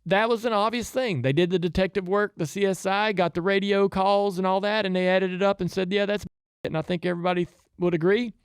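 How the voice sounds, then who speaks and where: A man's voice: sits high, steady tone, a little fast, smooth, no echo, studio quality. A man with a Southern US accent chatting casually about racing as a podcast host, in a rambling, folksy, easygoing way.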